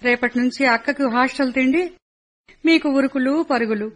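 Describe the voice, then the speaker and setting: A woman's voice: high voice, brisk. An actress playing a caring middle-aged mother of three in a radio play, scolding fondly. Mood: fussing. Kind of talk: radio drama acting.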